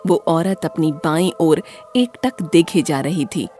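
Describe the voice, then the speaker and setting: A woman's voice: deep voice, takes it slowly, warm tone, soft background music. A woman narrating a scripted horror story as a dramatic storyteller.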